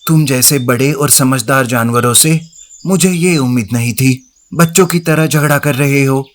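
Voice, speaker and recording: A man acts out a wise pond turtle in a cartoon fable. His pitch is average for a male. He admonishes like an elder who keeps the peace. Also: normal speed, natural voice. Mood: scolding. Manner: admonishing, lecturing. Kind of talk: Hindi animated moral story dialogue.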